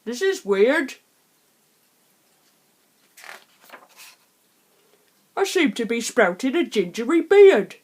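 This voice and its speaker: Woman playing a greedy, animated storybook dad. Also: on the high side, normal speed. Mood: bewildered.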